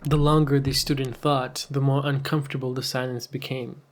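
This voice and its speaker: A man's voice: medium pitch, little pitch movement, moderate pace, slight background noise. A man giving scripted story narration with wry, conversational asides.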